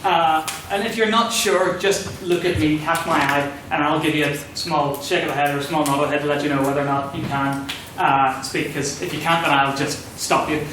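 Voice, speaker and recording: Male meeting chair, fairly high voice, explaining house rules; very reverberant room, distant mic. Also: fairly even intonation, fairly quick, few pauses. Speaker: society president chairing the debate. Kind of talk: procedural announcements to a meeting. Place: echoey debating hall, distant mic. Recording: echoey hall, far mic, clear noise.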